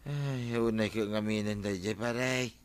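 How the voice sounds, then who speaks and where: A man's voice: lowish voice, a little slow, clean tone, dry recording, close to an everyday voice. An actor with an Ilocano accent playing an anxious, timid village man in a radio comedy drama, full of exclamations. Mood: worried.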